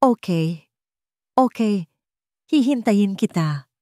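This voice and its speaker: A woman's voice: mid-range voice, animated, takes it slowly, warm tone, dry recording, studio quality, pitched above the performer's own voice. Woman voicing a desperate, frightened father. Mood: tense.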